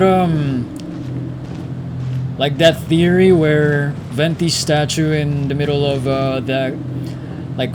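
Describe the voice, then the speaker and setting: A man's voice: a little high, noisy background. A man streaming a video game, giving laid-back, slangy reaction commentary over game music.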